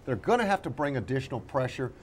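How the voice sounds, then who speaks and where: A man co-hosting a casual sports talk show, analysing in a halting way, searching for words and repeating himself. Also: medium pitch, big pitch swings, slight background noise.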